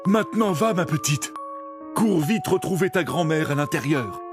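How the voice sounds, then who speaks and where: A protective cartoon huntsman acted by a man with a fairly high male voice. He sounds firm and kindly, over faint music in a children's tale. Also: animated, moderate pace, natural voice. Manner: firm, concerned. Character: protective male hunter, stern but kindly.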